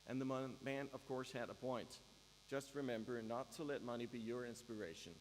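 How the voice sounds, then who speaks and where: A man with a Danish accent delivers a prepared ceremonial speech at a measured pace, with a dry, wry humor. His voice rings through a large hall with a long reverb tail. A faint electrical hum sits underneath. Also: medium pitch. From Denmark. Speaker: graduation speaker.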